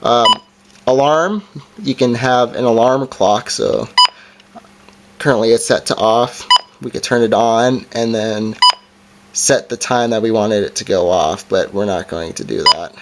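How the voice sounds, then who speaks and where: A man giving a casual, halting hands-on gadget demonstration in an unscripted way. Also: medium pitch. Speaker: product reviewer. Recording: noisy amateur home recording, clicks.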